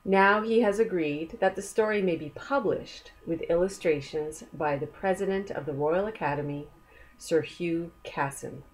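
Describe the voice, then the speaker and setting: A woman reading a children's book aloud as a storyteller, gently inviting young listeners along with questions. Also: fairly low pitch, moderate pace, slight background noise, poor audio.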